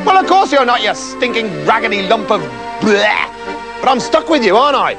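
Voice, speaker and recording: Shrill, haughty spoilt princess. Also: male voice, sing-song, normal speed, music in the background, heavily acted. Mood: indignant. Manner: rude, haughty and scornful. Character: spoilt, conceited, cruel, mocking young princess. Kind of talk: comic fairy-tale storytelling.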